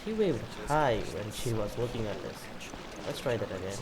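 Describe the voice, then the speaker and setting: A man's voice: medium pitch, sing-song, normal speed, crowd noise. A man giving casual gameplay commentary as the host of a gaming video. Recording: loud game music behind. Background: game music.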